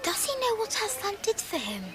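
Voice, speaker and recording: Very high-voiced, earnest little girl. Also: very animated, a little fast, very noisy. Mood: concerned, earnest. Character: young girl, earnest, tender-hearted little sister. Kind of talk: dramatised audio story acting. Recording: distant from mic, loud music behind.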